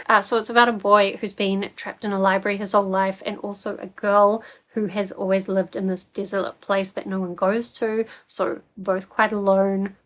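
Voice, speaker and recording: A young woman with a New Zealand accent, chatting casually about books in a rambling, filler-heavy way. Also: medium pitch. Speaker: book vlogger. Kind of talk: casual book chat.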